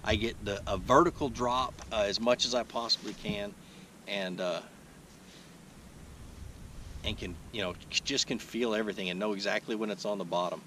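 A man's voice: lowish voice, normal speed, pauses often, a little hiss or hum. A man in his thirties hosting a fishing video, talking casually through his technique while he fishes from a kayak on a lake, recorded on a boat-mounted action camera. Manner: relaxed, explains while casting, frequent restarts. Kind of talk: casual how-to narration. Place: kayak on an open lake outdoors.

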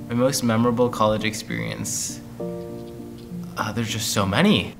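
A man's voice: fairly low pitch, fairly even intonation, moderate pace, gravelly, music in the background. A young man, a graduating student, giving rambling casual interview answers full of fillers. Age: young adult.

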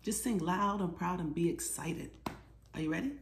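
A woman's voice: lowish voice, moderate pace. American lady doing storytime for kids, takes her time, encouraging, echoey living room with noticeable noise behind her. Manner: encouraging, asking the listeners questions. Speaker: author and children's storytime presenter. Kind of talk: casual storytime talk to children. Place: small living room at home, indoors.

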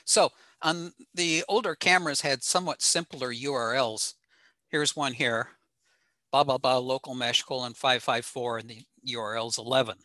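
Older guy doing a techy slide talk, halting n matter of fact, crisp bright sound, no room echo. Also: medium pitch. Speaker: presenter at an amateur radio talk. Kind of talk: informal technical slide presentation. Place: small room at home, on a video call.

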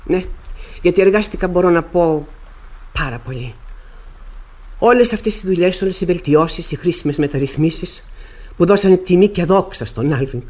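Stern widowed mother, tragic radio theatre, grave measured delivery, bitter but controlled. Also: on the low side, animated, darkish tone. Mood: bitter, resolute. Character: stern, embittered widowed mother in Ibsen tragedy. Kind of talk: classic Greek radio theatre acting.

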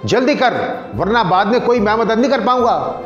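A man plays a corrupt police station chief from a short story, domineering and sly. The voice is high and deliberate, scolding in a commanding way. The sound is dull and echoey. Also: expressive, faint music behind. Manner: scolding, commanding, falsely sympathetic. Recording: roomy echo, dull lo-fi sound.

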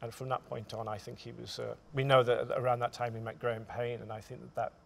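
A man in his forties with a noticeably gravelly voice of medium pitch speaks as a writer on a stage panel. He tells his account in measured, thoughtful phrases, often halting as he goes. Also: level tone, a bit bright. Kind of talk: panel discussion, storytelling. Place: theatre stage, indoors.

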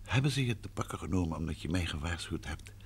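An actor playing a courteous, composed gentleman detective in an old radio drama, measured in manner, on a noisy old recording. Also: sits low, animated. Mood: soothing, probing. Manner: soothing, measured, probing.

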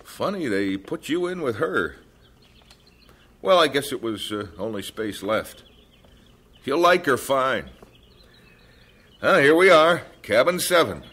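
A man's voice: medium pitch, big pitch swings, takes it slowly, pauses often, a bit bright, slightly rough, heavily acted. An actor playing a chatty male camp registrar who hesitates and rambles with many "uh"s. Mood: rambling. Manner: hesitant, rambling, full of uh's.

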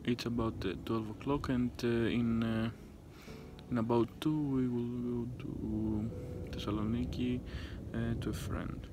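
A young man from Greece with a Greek accent, speaking casually and haltingly to a handheld phone camera outdoors. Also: medium pitch, level tone, over music. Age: young adult. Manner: plain, halting narration with fillers. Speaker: vlogger. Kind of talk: casual travel vlog to camera. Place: outdoors in a village. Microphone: phone held at arm's length.

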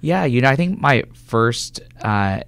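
A man's voice: mid-range voice, expressive, unhurried, gravelly, a bit bright. A man from California answering conversationally as an interview guest, a government health official, in a radio studio. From California, United States.

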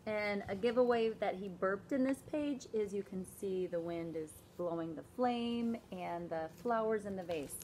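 A woman in her forties, a teacher, reading a picture book aloud from indoors and pausing to explain the pages, with a slow, emphatic parent's voice for the scolding lines. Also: medium pitch, a little mellow, slight background noise. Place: indoors, small room before a plain wall.

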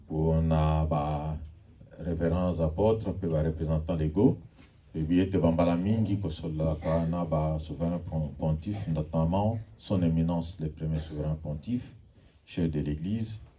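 A man's voice: extremely low pitch, unhurried, warm tone. A man giving formal church announcements and leading call-and-response during a service. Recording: distant mic with faint noise.

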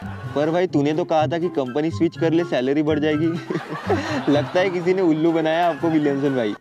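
A man's voice: on the high side, expressive, moderate pace, music in the background. A man from India doing comic commentary on memes, often laughing as he reads captions aloud. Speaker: meme reaction host.

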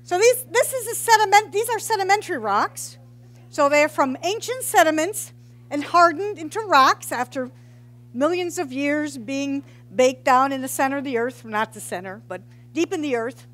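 A woman's voice: high voice, lively intonation, normal speed, a bit bright. A middle-aged woman, a geology professor, giving an informal public science talk into a headset mic in a large hall, joking and quizzing the audience. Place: large hall with brick walls.

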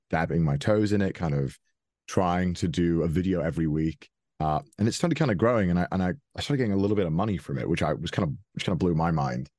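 Casting: young Welsh man, very low voice, dry close sound, loose rambling podcast guest. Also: darkish tone, dry recording. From Wales. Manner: rambling, frequent restarts and fillers, chuckles often. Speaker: interview guest. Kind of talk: casual interview.

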